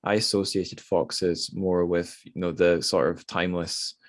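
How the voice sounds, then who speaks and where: Deep-voiced man hosting a casual podcast. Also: flat delivery, a little slow. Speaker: podcast host. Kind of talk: casual literary discussion.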